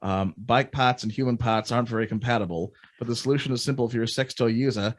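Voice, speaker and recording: Medium-pitched man in casual banter. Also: quick pace. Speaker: podcast panelist. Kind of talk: casual joking group chat.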